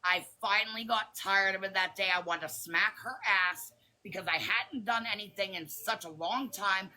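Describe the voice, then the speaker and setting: A woman with a raspy smoker's voice, talking defensively and bluntly on a phone livestream. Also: mid-range voice, normal speed, bright, forceful tone, a little hiss or hum, poor audio. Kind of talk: heated live chat.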